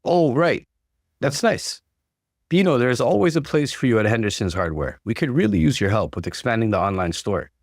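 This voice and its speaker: Man acting stern, insistent father, animated delivery. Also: mid-range voice, a little fast, no echo, clean studio sound. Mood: casual, expectant. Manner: forceful, authoritative. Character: stern, insistent father pressuring his grown son.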